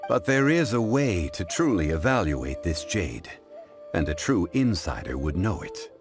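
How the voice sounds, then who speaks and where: An actor playing a calm, refined gentleman connoisseur in a video game, speaking in a formal, measured, lecturing way. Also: low-pitched, music in the background, exaggerated voice. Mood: calm, instructive. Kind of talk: English-dubbed video game acting.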